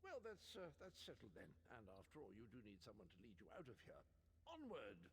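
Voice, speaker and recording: Husky, wildly animated eccentric man. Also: mid-range voice, a little fast, exaggerated voice. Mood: brisk. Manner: quaint, animated, dithering. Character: eccentric, chipper, fussy old-fashioned male rescuer. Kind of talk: video game voice acting.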